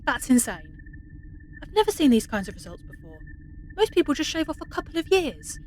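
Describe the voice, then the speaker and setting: Female actor as incredulous station chief, high voice, urgent, shaken, audio drama, faint background noise. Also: very animated, a little fast, darkish tone, poor audio. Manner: disbelieving, urgent. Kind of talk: audio sci-fi drama acting.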